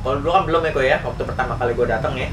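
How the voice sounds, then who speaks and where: A man's voice: mid-range voice, rapid-fire. A man in his thirties with a Jakarta accent chatting casually, joking and exclaiming in colloquial slang. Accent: Jakarta Indonesian. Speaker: vlogger, customer. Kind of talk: casual chat. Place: small cluttered motorcycle accessory shop, indoors. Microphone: far camera. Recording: distant camera mic, noisy echoey room.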